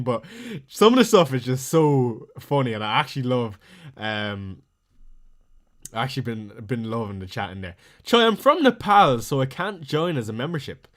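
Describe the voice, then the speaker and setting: A man's voice: on the high side, a bit bright. Chatty Irish man on a live stream. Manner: chatty, reads viewer messages aloud and answers them quickly. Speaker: fantasy football streamer. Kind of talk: casual live stream chat.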